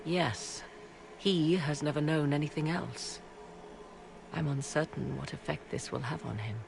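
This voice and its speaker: A woman voicing an aloof, proud witch who speaks in a cool, measured, dryly ironic way. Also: low voice, vocal fry, noisy background.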